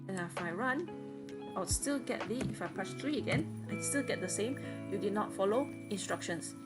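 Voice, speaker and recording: A woman's voice: medium pitch, expressive, normal speed, over loud music. A woman teacher patiently walking students step by step through programming exercises in a screen-recorded lesson.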